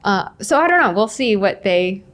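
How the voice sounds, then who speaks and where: A woman's voice: mid-range voice, big pitch swings, moderate pace, a bit bright. A woman in her forties speaking as a guest author in a casual podcast interview, candid and blunt, often voicing little imagined quotes. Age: 40s. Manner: candid, blunt, peppers talk with "like" and acted-out quotes.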